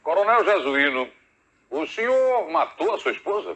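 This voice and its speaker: An actor playing a formal judge questioning a defendant in a television period drama, stern and declamatory. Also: male voice, on the high side, very animated, normal speed, fairly bright tone, rough recording.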